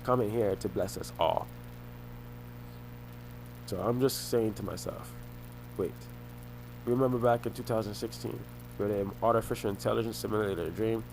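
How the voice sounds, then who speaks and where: A young man rambles on in a halting way, circling back and restarting his sentences as he talks. His voice sits on the low side. A faint hum runs under the recording. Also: fairly even intonation, creaky, darkish tone, poor audio. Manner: halting, repeats and restarts phrases. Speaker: vlogger. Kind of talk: rambling personal monologue.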